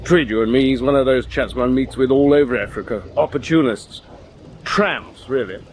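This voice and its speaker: An actor playing a boastful British naval commander with an English accent and a pompous, self-satisfied, dismissive way of talking. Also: male voice, mid-range voice, normal speed, slight background noise, close to an everyday voice. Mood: dismissive, smug. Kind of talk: period audio drama acting.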